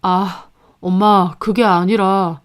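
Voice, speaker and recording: A woman's voice: medium pitch, fairly even intonation, slow pace, pitched below the performer's own voice, lightly acted. Woman playing a cornered, defensive husband. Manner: mumbling, defensive. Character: evasive cornered young husband.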